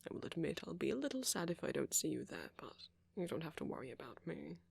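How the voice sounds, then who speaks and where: A man playing a friendly, flirty vampire in an ASMR roleplay, speaking softly, politely and coyly. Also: a little high, big pitch swings, quick pace, vocal fry, slightly rough, full character voice. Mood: friendly, gently flirty. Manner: soft-spoken, polite, coy, chuckling. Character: friendly flirty gentle male vampire, shyly hopeful.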